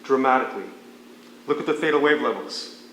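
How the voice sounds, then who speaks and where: An actor playing a matter-of-fact, wryly sympathetic hospital doctor in naturalistic stage dialogue. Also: male voice, medium pitch, brisk, fairly bright tone, natural voice. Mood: intrigued. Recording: echoey distant stage recording, some noise.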